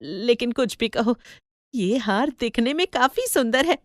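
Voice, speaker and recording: A woman voicing an excitable, hardworking mother in an animated story, with exclamatory, wondering delivery. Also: a little high, unhurried, no room sound, clean studio sound. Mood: amazed. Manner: exclamatory, wondering, mildly scolding. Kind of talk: animated Hindi storybook acting.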